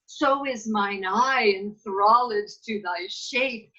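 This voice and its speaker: A woman playing a regal, doting fairy queen in a Shakespeare table read, speaking measured verse theatrically over a video call. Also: mid-range voice, bright, forceful tone. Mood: lovestruck. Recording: muffled video call audio.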